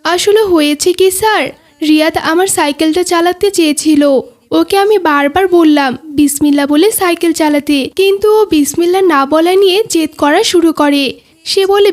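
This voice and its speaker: A well-behaved little schoolboy voiced by a woman with a very high voice. He is courteous and earnest, carefully explaining things in a children's cartoon style. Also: moderate pace. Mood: earnest, concerned. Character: dutiful, polite, well-behaved schoolboy friend. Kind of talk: cartoon dialogue.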